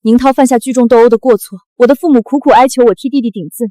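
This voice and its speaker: An actress as a young woman in a short drama, wronged yet resilient. Her high voice is earnest and declamatory, recorded close and dry. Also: fast, mellow, no room sound, natural voice. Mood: resolute. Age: young adult. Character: wronged, resilient young top student cast out by family.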